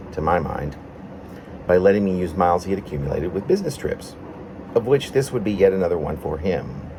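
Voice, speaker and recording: A man from Arkansas, a poet presenting and reading his own autobiographical work in an unhurried, personal way. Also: low-pitched, background noise. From Arkansas, United States. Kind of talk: casual introduction, then reading aloud autobiographical prose poem.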